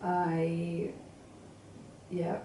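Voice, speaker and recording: A woman's voice: fairly low pitch, completely flat delivery, drawn-out words, warm tone. A woman who grew up in England and Venezuela, talking about her design work as an interview guest, hesitant and full of fillers and restarts. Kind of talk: casual interview answers. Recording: echoey room, mic at a distance, faint noise.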